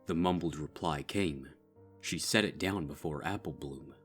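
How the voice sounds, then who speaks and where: A man giving grave, measured narration of a dark fan story. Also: a bass voice, breathy edge, soft background music. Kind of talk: dark fanfic audiobook narration.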